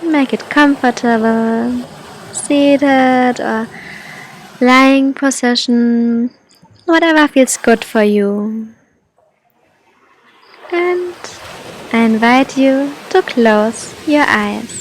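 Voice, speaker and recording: Female meditation guide, high soft voice, extremely unhurried delivery, faint ambient noise behind her. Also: rough recording. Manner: soft, gentle, soothing, with long pauses.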